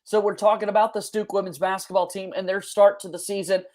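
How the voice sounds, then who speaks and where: A man's voice: high-pitched, little pitch movement, fast, a little mellow. A man hosting a sports podcast, speaking in a conversational presenter's style. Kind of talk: conversational interviewing and scripted sponsor reads.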